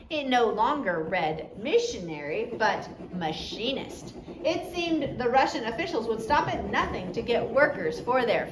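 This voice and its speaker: A woman narrating a story aloud as an audiobook reader. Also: fairly high pitch. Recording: roomy echo, faint background noise.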